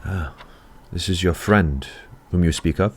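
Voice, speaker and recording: Deep-voiced professor. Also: male voice, unhurried, darkish tone, breathy edge. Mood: tender. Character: gentle, principled German professor, a grown man. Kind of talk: audio play acting of a period drama.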